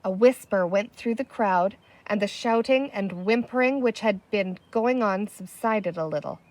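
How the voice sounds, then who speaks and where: A woman reading a children's book aloud as expressive scripted narration. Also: mid-range voice, unhurried, dry recording. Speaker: storybook reader.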